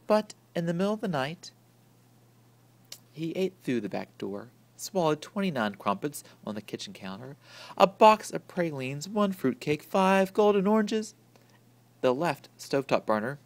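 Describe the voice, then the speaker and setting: A man reading a children's picture book aloud with deliberate, dramatic storytelling. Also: fairly high pitch. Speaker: story reader. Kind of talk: expressive picture-book narration.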